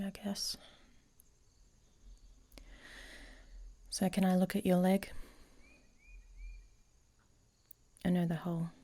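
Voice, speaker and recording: A woman playing a grumpy, guarded female werewolf in an intimate ASMR roleplay, speaking in a halting, breathy, often whispered murmur. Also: fairly low pitch, warm tone. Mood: dry, caring.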